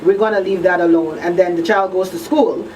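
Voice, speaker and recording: A woman's voice: fairly low pitch, brisk, darkish tone. A woman hosting a live radio discussion, speaking conversationally with emphatic repetition and frequent check-ins with the listener. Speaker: radio talk show host. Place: radio talk show broadcast. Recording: distant mic with faint noise.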